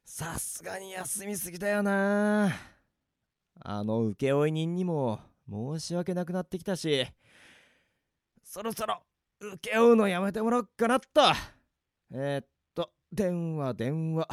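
A man voicing a bewildered young office worker who finds no one can see him, talking in rough casual speech, in an amateur radio drama. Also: high-pitched, animated, measured pace, dry recording, clean studio sound. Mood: muttering.

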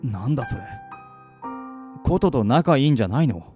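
Acted teenage schoolboy, mellow tone, dry, frank, level-headed, casual delivery, audio drama, faint background music. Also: medium pitch, big pitch swings, normal speed, close to an everyday voice. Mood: blunt. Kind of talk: Japanese anime-style audio drama acting.

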